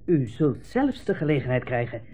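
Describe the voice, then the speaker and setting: An actor playing a breezy, patronising army captain at a refugee centre, in 1960s radio drama style. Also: male voice, medium pitch, sing-song, moderate pace, warm tone, faint music behind. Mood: glib, breezy. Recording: muffled 1960s radio broadcast recording.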